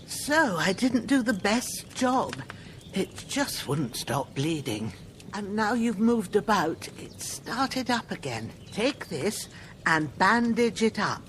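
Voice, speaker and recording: An actress playing an older village healer, gruff and motherly, talking in folksy rustic speech with a lively, slow delivery. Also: mid-range voice, slight background noise. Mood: caring. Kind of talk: video game dialogue.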